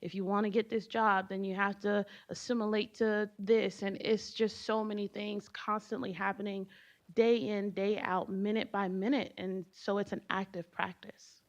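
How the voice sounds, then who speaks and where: A woman whose first language was Haitian Creole speaks on a panel in an earnest, reflective way. Her voice has a gravelly, fry-tinged edge. The recording sounds muffled and lo-fi. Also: medium pitch, little pitch movement, normal speed. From United States, Haitian family. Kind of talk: panel discussion.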